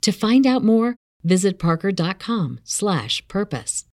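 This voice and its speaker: Female corporate narrator, lower register, varied intonation, dry close recording. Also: very animated, unhurried, a little mellow, no echo. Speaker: advert narrator.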